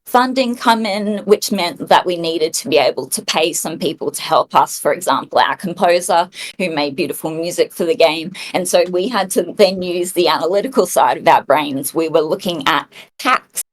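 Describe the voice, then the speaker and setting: Woman, from Australia, game developer, low pitch, warm, reflective, interview guest. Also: moderate pace, few pauses. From Australia and New Zealand. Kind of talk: casual interview answers.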